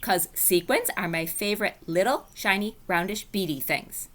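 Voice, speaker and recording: A woman voicing a chatty, spunky kindergarten girl in a storybook read-aloud, eager and loud when excited. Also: mid-range voice, moderate pace, lightly acted.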